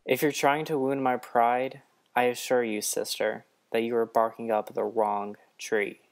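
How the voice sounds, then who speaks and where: A man's voice: mid-range voice, flat delivery, a little slow, vocal fry, pitched above the performer's own voice. A man voicing a wry, placating trickster god in a radio-play story, coaxing and conciliatory. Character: wry, placating male trickster god, smooth-talking bargainer.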